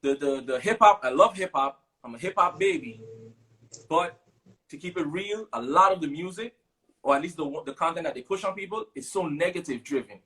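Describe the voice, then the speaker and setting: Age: 30s. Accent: Sint Maarten Caribbean. Man, fairly high voice. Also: a little fast, rough recording. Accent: Sint Maarten Caribbean English. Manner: relaxed storytelling with many fillers. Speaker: guest musician. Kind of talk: casual interview chat.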